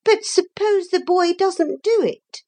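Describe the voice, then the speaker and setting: A woman's voice: extremely high pitch, slow pace, mellow, voice pushed up. A woman voicing a haughty, defiant storybook lady with a thin, disagreeable voice. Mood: challenging. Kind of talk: storybook character voice.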